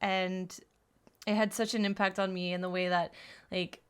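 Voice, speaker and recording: A woman's voice: fairly low pitch, level tone, moderate pace, clean tone. A woman guesting on a podcast, talking about a film in a casual way, agreeable and often chiming in.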